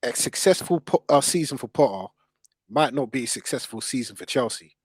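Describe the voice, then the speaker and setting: A man's voice: mid-range voice, expressive, brisk, breathy edge, no room sound, poor audio. A man with a London accent debating football casually and emphatically, repeating phrases to drive his points home. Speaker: football podcast host.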